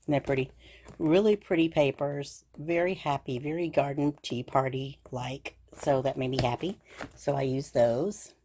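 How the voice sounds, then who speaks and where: A middle-aged woman with a low, slightly scratchy voice, chattering cheerfully about her craft supplies. She gushes and fills the gaps with "um"s. Faint noise sits behind her. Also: steady tone, moderate pace, warm tone. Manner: chatty and gushing, with frequent fillers. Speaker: craft channel host. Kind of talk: casual crafting show-and-tell.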